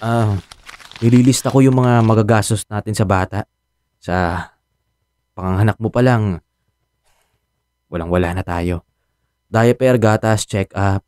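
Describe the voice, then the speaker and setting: A man's voice: lowish voice, measured pace, pauses often, darkish tone, clear, resonant tone, no room sound, natural voice. A man with a Filipino accent playing an anxious young expectant father in a radio drama. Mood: worried. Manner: sighing, hesitant, earnest.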